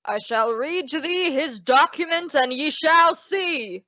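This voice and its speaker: Woman playing a pompous, indignant town traditionalist, fairly high. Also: animated, takes it slowly, sharp tone, no echo, full character voice. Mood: stern. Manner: declamatory, archaic speech. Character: pompous, indignant male Puritan traditionalist elder. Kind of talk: old-time radio drama acting. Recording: dull lo-fi audio.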